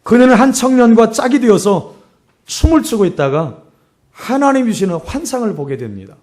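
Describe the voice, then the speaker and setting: A middle-aged man with a hoarse voice preaching a sermon as a pastor, telling stories and exhorting with emphatic repetition. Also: extremely high pitch, very animated, normal speed, darkish tone.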